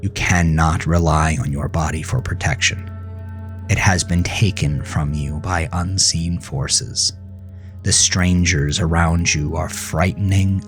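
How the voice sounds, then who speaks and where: Low male voice, slow deliberate delivery, grave horror narrator, suspenseful, prominent music underneath. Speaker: author narrating his own horror fiction. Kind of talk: dramatic second-person horror narration.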